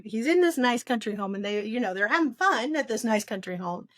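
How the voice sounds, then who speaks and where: A woman's voice: fairly high pitch, lively intonation, fairly quick, a bit bright, no echo, clean studio sound. A woman chatting casually about books as a co-host, rambling a little and thinking aloud as she goes. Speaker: book podcast co-host. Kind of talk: casual book discussion chat.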